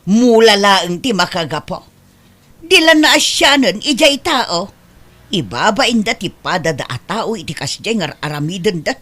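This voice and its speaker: A woman acting a meddling older lady in a comedy drama. She scolds and preaches in a very animated, swooping voice at a middling pitch, stretching out her exclamations. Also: measured pace. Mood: self-righteous. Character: meddling, self-righteous senior woman preaching humility, quick to scold.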